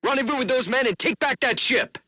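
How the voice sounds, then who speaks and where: A man's voice: extremely high pitch, brisk, pushed and bright, no echo, studio quality, full character voice. A man voicing a firm, loyal military commander in a video game, giving clipped orders. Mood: firm, urgent.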